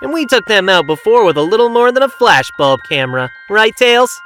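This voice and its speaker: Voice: mid-range voice, very animated, brisk, a bit bright, faint music behind. An actor playing a cocky, carefree young male hedgehog hero with a breezy, boastful manner in a fan audio drama. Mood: cocky, dismissive.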